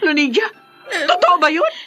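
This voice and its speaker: Shrill, very high-pitched outraged mother. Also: very animated, moderate pace, sharp tone, faint music behind, exaggerated voice. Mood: tearful shock. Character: outraged, melodramatic mother in radio drama. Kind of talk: Tagalog radio drama acting.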